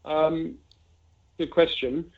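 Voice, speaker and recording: A man's voice: a little high, steady tone, drawn-out words. Man on a tinny phone-like line. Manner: discursive, thinks aloud with asides and examples. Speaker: investment fund manager being interviewed. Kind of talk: conversational market commentary.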